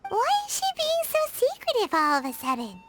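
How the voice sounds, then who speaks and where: A woman's voice: very high-pitched, wildly swooping pitch, over loud music, heavily acted. A woman voicing a tiny floating girl companion who is chirpy and curious, in video game voice acting. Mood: puzzled. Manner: bouncy, exclaiming, quick asides.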